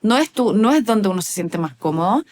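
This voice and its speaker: A woman's voice: mid-range voice, big pitch swings, fairly quick, a little mellow. A woman researcher, speaking as a guest in a casual interview, thoughtful and hesitant with many fillers and restarts.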